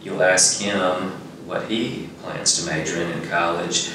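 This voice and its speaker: A middle-aged man with a very low, fairly even voice talks earnestly in an interview. He sits in a strongly echoing room and sounds like an executive explaining his work. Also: measured pace. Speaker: nonprofit executive director. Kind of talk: conversational interview. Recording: echoey room, distant mic, faint noise.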